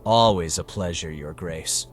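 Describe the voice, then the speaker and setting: A man voicing a charming, playful, flattering nobleman in a fantasy audiobook, with a suave theatrical delivery. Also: deep voice, measured pace, faint music behind, voice pushed down. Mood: courtly.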